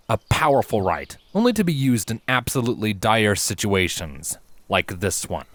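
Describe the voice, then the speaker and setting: A man narrating a fantasy story from a script in an expressive, dramatic way. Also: mid-range voice, no echo. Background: music.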